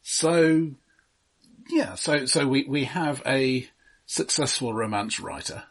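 A man's voice: medium pitch, expressive, slow, husky. A man co-hosting a film podcast, casually summarising and commenting in a dry, understated way.

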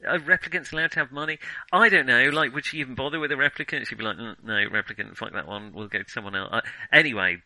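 Male film podcast host, very bright sharp voice, dry close sound, opinionated and halting in casual discussion. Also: mid-range voice, dry recording, low-quality recording. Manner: hesitant, self-interrupting, opinionated. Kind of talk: casual film criticism chat.

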